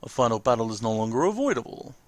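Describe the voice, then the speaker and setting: A haughty fantasy warrior from a video game, read aloud by a man. The delivery is declamatory and confrontational, lifted and swinging widely in pitch. It sounds dry and close. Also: big pitch swings, quick pace, no echo, lightly acted. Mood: stern, challenging.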